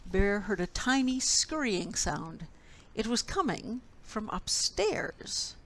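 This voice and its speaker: A woman storyteller reading a children's picture book expressively, with deliberate, dramatic phrasing. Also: mid-range voice, very animated, slight background noise.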